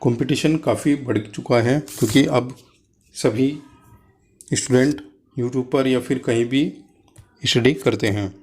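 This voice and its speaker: A man's voice: mid-range voice, a little slow, long pauses, a little mellow, husky. A man presents as an exam coach, explaining informally and step by step to students, mixing in English terms.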